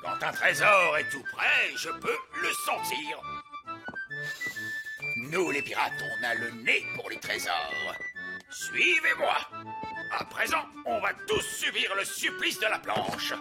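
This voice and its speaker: A jolly, boastful pirate captain elf for a cartoon. He speaks slowly and theatrically, with huge swoops in pitch, turning from bombast to wheedling, over clear background music. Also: wildly swooping pitch, exaggerated voice. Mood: boastful, exultant. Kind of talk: cartoon voice acting.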